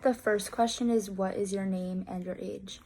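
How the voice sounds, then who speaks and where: A woman's voice: mid-range voice, steady tone, moderate pace, a little mellow, a little hiss or hum. A nineteen-year-old woman casually explaining a class project, with lots of filler words. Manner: rambling, heavy use of "like" fillers. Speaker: student presenting a class project.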